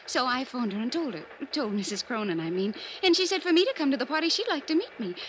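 An actress playing a young, gushing party guest who babbles eagerly in an old-time radio drama. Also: female voice, sits high, sing-song, quick pace, a bit bright. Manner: babbling, breathless enthusiasm. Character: gushing, girlish, chatty young party guest, secretly shrewd. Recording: noisy old radio recording.

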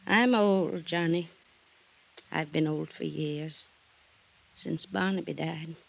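A naive, aging widow of high society in a vintage radio mystery. She speaks slowly and theatrically, with creak in the voice, girlish gaiety worn thin by wistfulness. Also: heavily acted. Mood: wistful, fearful. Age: older. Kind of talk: 1950s radio drama acting.

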